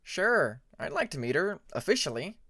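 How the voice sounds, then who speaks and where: A man's voice: a little high, sing-song, moderate pace, a bit bright, no echo, studio quality, voice pushed up, exaggerated voice. A man voicing a friendly young male dragon prince in a storybook reading, casual and amiable. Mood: agreeable.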